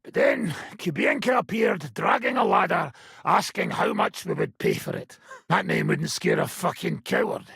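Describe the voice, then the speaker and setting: An actor playing a gruff, foul-mouthed old robber baron in a video game, with a rough, raspy voice and a blunt, sardonic manner. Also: male voice, high voice, lively intonation, moderate pace, a bit bright, dry recording, studio quality, full character voice. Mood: wry, grumbling. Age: older. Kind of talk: video game character dialogue.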